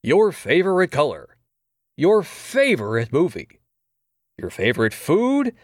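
A man playing a villainous game show host in an audio drama, with drawn-out, teasing showman patter. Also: a little high, wildly swooping pitch, dry recording, clean studio sound, full character voice. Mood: gleeful, teasing. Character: showboating male villain game show host, toying with captives.

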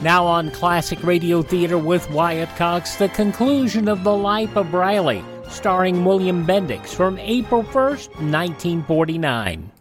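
A man's voice: high voice, a little slow, hardly any pauses, over loud music. A man hosting a classic radio program, giving scripted broadcast announcements. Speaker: radio show host.

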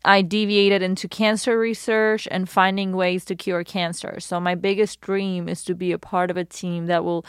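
A woman in her thirties from Iran, a guest telling her story in a casual interview, expressive and full of "like". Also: fairly low pitch, normal speed, few pauses, smooth, dry recording, clean studio sound. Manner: expressive, fillers like "like".

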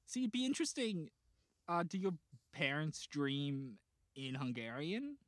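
An Australian podcast co-host in a casual chat, playful and given to deadpan dark jokes. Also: medium pitch, measured pace, no echo, studio quality.

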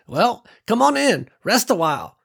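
A man's voice: high voice, very animated, measured pace, creaky, fairly bright tone, breathy edge, voice pushed up, heavily acted. Man doing a folksy old farm woman. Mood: welcoming. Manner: folksy and welcoming.

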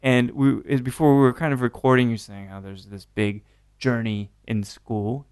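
A man's voice: medium pitch, fairly even intonation, moderate pace, darkish tone, clean tone, dry recording, clean studio sound. A man hosting a casual interview, chatty and upbeat, often tagging his sentences with "right?". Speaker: host.

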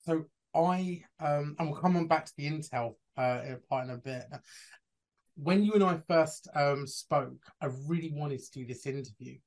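A man's voice: fairly high pitch, normal speed, darkish tone. A man hosting a podcast interview, asking questions in a hesitant, apologetic way with many restarts. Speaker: podcast host.

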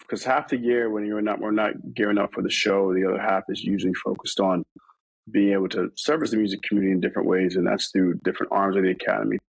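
A man's voice: on the low side, vocal fry, no room sound, low-quality recording. A man from New York talking casually in an interview, rambling with many fillers and restarts. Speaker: interview guest.

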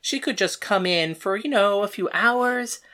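A woman's voice: medium pitch, a little slow, a bit bright, lightly acted. A woman playing a bitter, defiant god of death in a first-person audio drama. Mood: probing.

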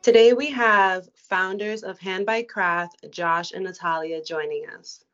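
A woman podcast host reading a guest introduction aloud in a measured way. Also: medium pitch, fairly even intonation, fairly bright tone, clean tone, lo-fi sound, soft background music.

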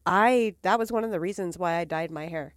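A woman's voice: lowish voice, fairly quick, no room sound, clean studio sound. Chatty woman podcast co-host. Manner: chatty, animated storytelling. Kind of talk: casual chat.

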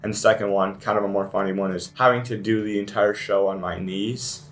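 Earnest low-voiced young man. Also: steady tone, a little fast. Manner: earnest, leads the questions. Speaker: student actor. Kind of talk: casual panel chat.

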